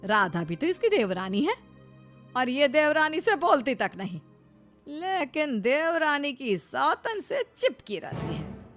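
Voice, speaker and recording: A woman's voice: high-pitched, big pitch swings, slow pace, a bit bright, soft background music, voice pushed up, full character voice. A woman voicing a protective middle-aged mother-in-law in a cartoon, concerned and reproving. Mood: puzzled, disapproving.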